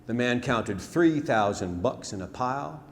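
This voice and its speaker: A man's voice: medium pitch, animated, a little slow. A man performing a rhymed narrative ballad as a storyteller, with dramatic, folksy, emphatic delivery in a theatre. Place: on stage in a theatre. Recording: hall reverb, noisy live theatre recording.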